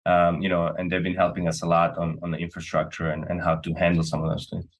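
A man's voice: sits low, completely flat delivery, fast, breathy edge. A man from Serbia talking casually and earnestly as a company co-founder being interviewed, often checking in with "right?".

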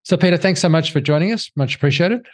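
A man co-hosting a podcast, asking questions eagerly with quick bursts of speech. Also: fairly high pitch. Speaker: co-host, interviewer. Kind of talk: conversational interview.

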